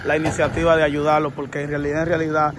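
A man's voice: on the high side, completely flat delivery, fast talker, background noise. A man interviewing on the street, addressing viewers directly and repeating himself, recorded outdoors.

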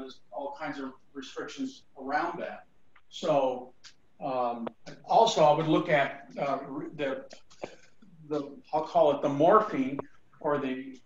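A man with a higher voice, speaking into a video call from a roomy space. The audio is dull and has a faint hiss. His delivery is halting, with frequent uh and um. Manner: halting, many fillers. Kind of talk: panel discussion on a video call.

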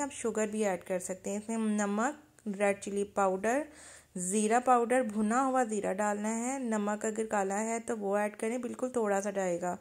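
A woman's voice: a little high, a little mellow. A woman with a Pakistani Urdu accent, narrating a home recipe step by step as a cooking channel host. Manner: plain step-by-step instructions with kitchen tips.

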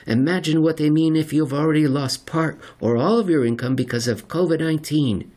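A man with a slightly husky voice narrates a written article in a deliberate, measured way with dramatic pauses. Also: on the high side, darkish tone. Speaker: narrator reading an article aloud. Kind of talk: scripted narration of an economics article.

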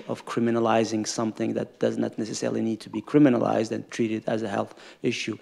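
A man answering as an expert panelist on stage, formal and measured, halting as he repeats words. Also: medium pitch, creaky, a little mellow. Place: stage in an auditorium.

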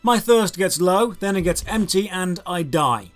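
A man's voice: sits high, animated, measured pace. A man in his thirties narrating a scripted game review as host, with an emphatic, exasperated, rhetorical delivery. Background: background music.

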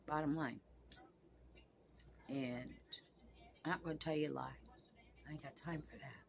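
A woman's voice: low-pitched, fairly even intonation, unhurried, creaky, slight background noise. A woman in her sixties vlogging from her couch, talking frankly and cussing freely, straight to the camera. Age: 60s. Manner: blunt, frank and profane, talks straight to camera. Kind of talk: casual vlog monologue. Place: small home room, seated on a couch.

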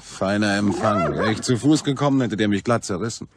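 Man playing a nosy male reporter, fairly deep voice, dry, wry, inquisitive, radio crime play. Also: expressive, brisk, background noise, natural voice. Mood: brisk, wry. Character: probing male newspaper reporter, wry and persistent. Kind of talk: German radio crime drama acting.

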